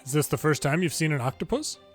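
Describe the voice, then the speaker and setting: A man reads game story lines aloud as host of a playthrough. His voice is a bit high for a man, and his delivery is informal and amateur, dropping into casual asides. Game music plays underneath. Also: lively intonation, fast. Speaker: gameplay video host reading subtitles aloud.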